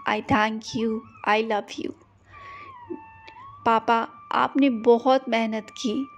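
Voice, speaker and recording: A woman leading a guided prayer meditation, speaking gently and repeating short phrases for the listener to echo. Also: on the high side, a little hiss or hum. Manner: slow, gentle, repetitive phrasing.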